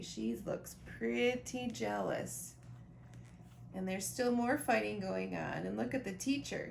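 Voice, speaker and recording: A woman reading a children's picture book aloud expressively, pausing to talk directly to young listeners about the pictures. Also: mid-range voice, creaky. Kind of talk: animated children's storybook read-aloud with asides. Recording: roomy echo, faint background noise.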